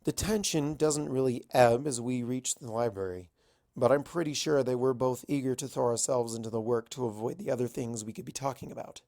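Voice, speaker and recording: A man's voice: mid-range voice, vocal fry, darkish tone. A man reading a first-person story aloud as an amateur narrator. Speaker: amateur fan fiction reader.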